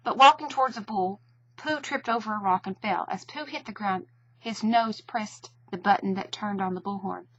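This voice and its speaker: A woman's voice: medium pitch, normal speed, lo-fi sound. A woman narrating a children's storybook expressively.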